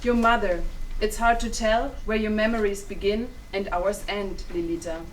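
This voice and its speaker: A young woman in a staged play reading, playing a calm, knowing grandmother who gives mystical advice. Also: medium pitch, normal speed, natural voice. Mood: knowing, reassuring. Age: young adult. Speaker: student actor in a dramatic reading. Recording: distant mic, noisy room ambience.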